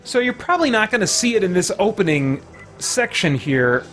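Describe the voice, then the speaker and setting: A man's voice: sits high, big pitch swings, moderate pace, a bit bright. A man giving casual game commentary as a streamer, talking to his viewers. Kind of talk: reads game dialogue aloud. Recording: music playing behind the voice. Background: music.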